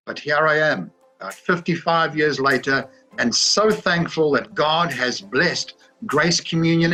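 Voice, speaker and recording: A man's voice: on the high side, low-quality recording. A man in his seventies giving a grateful church testimony in an earnest, reflective way. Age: 70s. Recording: soft music behind.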